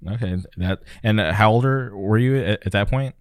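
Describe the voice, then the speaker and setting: Soft-spoken, hesitant male podcast host. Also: lowish voice, normal speed, clean tone, dry recording, clean studio sound. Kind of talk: casual podcast interview.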